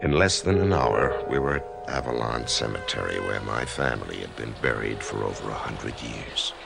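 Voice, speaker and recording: An actor playing a frightened dying husband in a radio drama, anxious and stammering. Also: male voice, very deep voice, lively intonation, normal speed, gravelly, over loud music, exaggerated voice. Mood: narrating. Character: frightened dying husband, middle-aged man. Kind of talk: 1990s radio drama acting.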